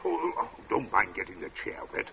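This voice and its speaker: An actor playing a genial, smoothly dissembling middle-aged gentleman in an old radio drama, hemming affably. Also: sits low, wildly swooping pitch, fairly quick, exaggerated voice. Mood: genial, soothing. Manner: affable, hemming, affectedly casual. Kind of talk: golden age radio drama acting. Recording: dull, hissy old radio recording.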